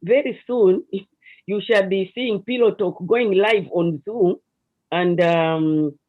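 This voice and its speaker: A woman with a Zambian accent and a notably deep voice speaks as a guest in an online interview. She explains her counseling work earnestly, often restarting her phrases. The video call audio sounds dull and lo-fi. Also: slow.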